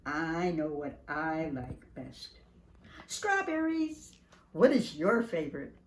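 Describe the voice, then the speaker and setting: An older woman reading a picture book aloud to young children in an animated, sing-song way, speaking right to them. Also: sits low, takes it slowly, roomy sound, a little hiss or hum. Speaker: preschool staff storyteller reading to children.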